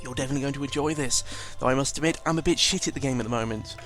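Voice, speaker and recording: A man's voice: mid-range voice, very fast, fairly bright tone, soft background music. A man giving casual, rambling game commentary, informal and sweary, often correcting himself as he goes. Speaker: gaming video commentator.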